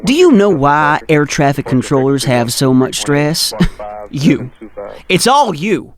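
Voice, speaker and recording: A man playing a calm air traffic controller in an audio drama, with a dryly exasperated streak. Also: fairly high pitch, slow, full character voice.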